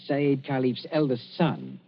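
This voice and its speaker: A man's voice: medium pitch, big pitch swings, unhurried, breathy edge. An actor playing a shrewd younger man in a radio drama, coolly suspicious and pointed in his questioning. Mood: probing, suspicious. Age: young adult. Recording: dull lo-fi radio recording.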